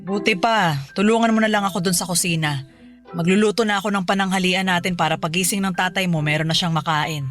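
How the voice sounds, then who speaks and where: A woman's voice: lowish voice, fairly even intonation, quick pace, music in the background, lightly acted. A woman playing a devout Filipino mother in a radio drama, chiding and instructing her child in a motherly way. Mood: firm scolding. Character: devout scolding mother of a teenage daughter.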